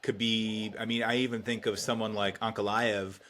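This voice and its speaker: A man's voice: on the low side, little pitch movement, moderate pace, a bit bright, slight background noise. A man hosting a podcast interview, chatty and conversational, with frequent short backchannels and questions.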